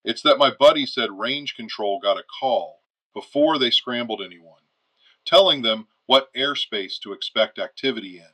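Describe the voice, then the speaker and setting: A man playing a blunt, uneasy retired fighter pilot calling a radio show in an audio drama, speaking emphatically and deliberately. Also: medium pitch, pushed and bright, poor audio. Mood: grim, candid.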